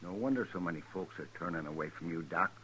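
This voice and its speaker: A man's voice: low-pitched, fast, pushed and bright. An actor playing a stubborn, distrustful frontier father in a 1950s radio western, speaking in a blunt, folksy rustic way. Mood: stubborn, accusing. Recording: dull, hissy 1950s radio recording.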